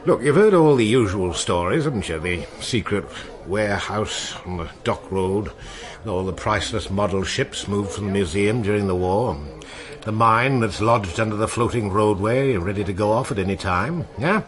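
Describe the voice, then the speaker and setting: A man's voice: lowish voice, normal speed, lightly acted. An actor playing a seasoned, wistful seaman in a radio drama, telling tales in a musing, colloquial way. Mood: confiding. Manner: musing, colloquial storytelling with seafaring turns of phrase. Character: seasoned old seaman, wistful, salty storyteller.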